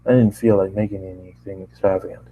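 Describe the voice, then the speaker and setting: A man's voice: low voice, level tone, fast talker, phone-quality sound, a little hiss or hum. A man narrating first-person fan fiction in a conversational way, stumbling and re-reading lines as he goes. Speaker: amateur story narrator.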